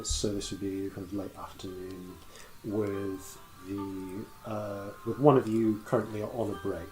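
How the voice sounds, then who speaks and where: A man narrating a tabletop game as game master, improvising in a halting way as he thinks aloud. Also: lowish voice, darkish tone, rough recording.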